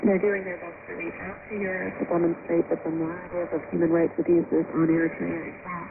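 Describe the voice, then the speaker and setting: Creaky-voiced woman expert over tinny shortwave. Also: lowish voice, level tone, brisk. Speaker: expert interviewee, policy researcher. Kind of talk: spoken interview commentary. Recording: tinny, noisy shortwave radio broadcast.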